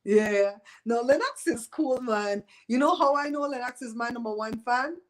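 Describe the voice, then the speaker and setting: Belizean lady in her 50s gossiping on her live show, blunt, laughs a lot, kinda high voice. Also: normal speed. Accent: Belizean Creole. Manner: blunt, gossipy, laughs often, Creole-flavoured speech. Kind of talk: casual chat with live viewers.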